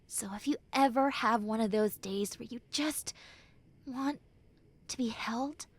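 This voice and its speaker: A woman voicing a prickly but caring tsundere young woman in a roleplay audio, with halting, sighing delivery. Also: on the high side. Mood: tender, reassuring. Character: prickly tsundere young woman who turns tender and comforting. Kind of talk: roleplay audio acting addressed to the listener.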